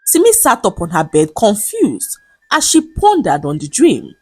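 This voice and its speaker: A woman narrating a scripted folktale in a measured, expressive storytelling manner. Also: mid-range voice, slightly rough, no echo. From Nigeria. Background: background music.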